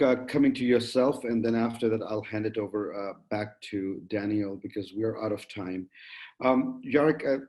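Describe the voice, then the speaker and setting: A man moderating a panel discussion, putting wordy, polite questions to guests in a halting way. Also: lowish voice, darkish tone.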